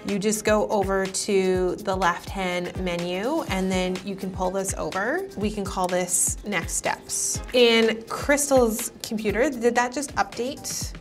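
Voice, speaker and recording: A woman's voice: medium pitch, takes it slowly, hardly any pauses, music in the background. A woman in her thirties talking casually and emphatically as an upbeat vlog host. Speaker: vlog host and interior designer.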